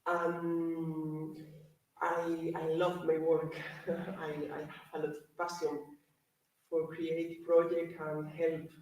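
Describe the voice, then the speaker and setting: Woman from Spain, slow and flat, distant and very echoey. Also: deep voice. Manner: slow, hesitant, many fillers. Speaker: participant. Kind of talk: interview answers. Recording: very echoey, from far off.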